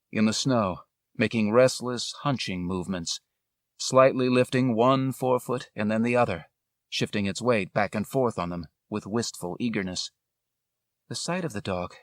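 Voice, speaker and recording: A man narrates a literary adventure story in a measured, dramatic way. His pitch sits in the middle of the male range, and he phrases deliberately. The recording is close and dry, with no room sound. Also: no echo. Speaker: audiobook narrator.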